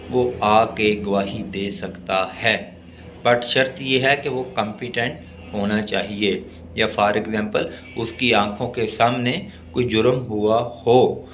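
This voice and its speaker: A man with a Pakistani accent lecturing on law, deliberately reading out text and explaining it in a teacherly way. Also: medium pitch, a bit bright, a little hiss or hum. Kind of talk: exam-oriented law lesson, reading English text and explaining it.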